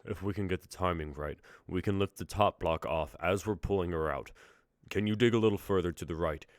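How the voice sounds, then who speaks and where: Young guy reading lines, calm, deep voice, dry sound. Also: fairly quick, no room sound. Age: 20s. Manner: calm, instructive delivery. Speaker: actor in a script reading. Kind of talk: dramatic script reading.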